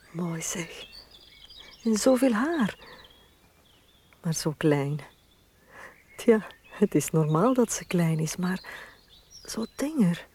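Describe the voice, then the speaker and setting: A woman with a Flemish accent acting a gentle, adoring young wife of small stature, full of wonder, in a radio drama. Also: mid-range voice, a little hiss or hum. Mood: tender awe.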